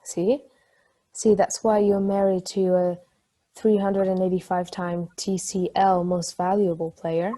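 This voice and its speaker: A woman's voice: on the low side, a little slow, lightly acted. A woman playing a playful, chatty married ex-athlete in a casual, conversational stage play table read. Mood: playful, enthusiastic. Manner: casual, swearing, animated storytelling.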